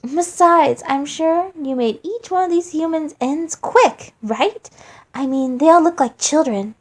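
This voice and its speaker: A woman's voice: sits high, a little slow, a little mellow, pitched above the performer's own voice. A woman voicing a cruel young male flower monster, falsely sweet and mocking, in a storybook reading. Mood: mock sympathy. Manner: sing-song, falsely innocent, taunting.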